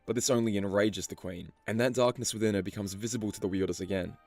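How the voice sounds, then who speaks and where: A man reading scripted story explainer narration in a measured, storytelling delivery. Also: fairly low pitch, fairly even intonation, a little mellow. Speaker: video narrator. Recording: music behind the voice. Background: background music.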